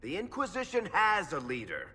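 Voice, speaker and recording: An actor playing a defiant, loyal rogue in a fantasy game, indignant and sardonic, in an echoing hall. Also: male voice, high-pitched, huge pitch swings, normal speed, bright, forceful tone, breathy edge, full character voice. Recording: echoing hall with background noise.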